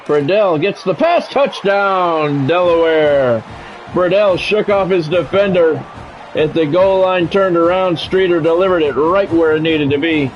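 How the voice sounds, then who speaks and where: Higher-pitched man commentating a football game. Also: measured pace. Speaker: game streamer and play-by-play commentator. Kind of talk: sports play-by-play mixed with casual stream chat. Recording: dull lo-fi audio, music behind.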